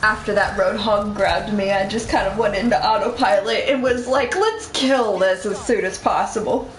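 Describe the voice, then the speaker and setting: A woman's voice: mid-range voice, moderate pace. A woman chatting casually and informally as she plays a video game with a teammate. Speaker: co-player on a game stream. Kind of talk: casual gameplay chat. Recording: echoey room with faint background noise.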